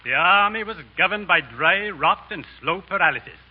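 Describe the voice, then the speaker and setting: An actor playing a pompous, indignant government censor, stern and declamatory in 1930s radio drama style. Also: male voice, high voice, expressive, normal speed, breathy edge. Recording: dull, lo-fi 1930s radio broadcast.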